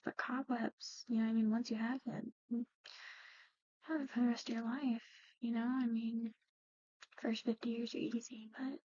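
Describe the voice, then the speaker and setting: A woman doing a whispered ASMR medical roleplay, explaining things gently and hesitantly as a matter-of-fact clinic practitioner. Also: a little high, no echo, muffled recording. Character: soft-spoken, matter-of-fact woman at an eerie old clinic. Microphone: close-up ASMR microphone.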